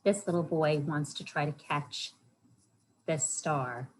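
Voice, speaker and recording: A woman's voice: deep voice, slow pace, slight background noise, poor audio. A woman hosting a storytime for children, reading aloud and chatting in simple words, often putting questions to her young listeners. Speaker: library storytime host for children.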